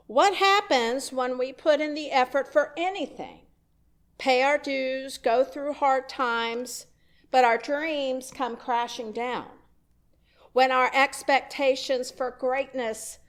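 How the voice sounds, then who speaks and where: A woman minister giving a sermon in a church, telling a story in a conversational way with wry asides. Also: high-pitched. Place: church sanctuary.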